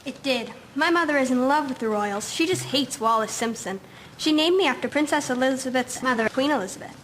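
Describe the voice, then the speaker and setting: A woman's voice: high-pitched, a little fast, fairly bright tone, a little hiss or hum. A female actor playing a serious, well-informed schoolgirl of the 1950s in an amateur audio drama. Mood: earnest.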